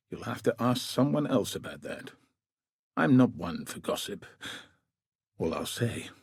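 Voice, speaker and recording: Gruff husky war vet commander for a video game, grave n world-weary, measured delivery, super dry close sound. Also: male voice, mid-range voice, dry recording. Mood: guarded, grim. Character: weary, battle-scarred veteran commander, gruff, grave and plain-spoken. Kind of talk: video game character dialogue.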